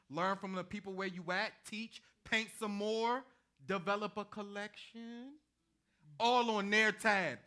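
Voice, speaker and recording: A man acts out a young American artist full of big dreams in a stage drama. His voice is high and very bright, forceful and sharp. He talks in emphatic slang, brash and persuasive. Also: smooth, exaggerated voice. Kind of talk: staged play reading.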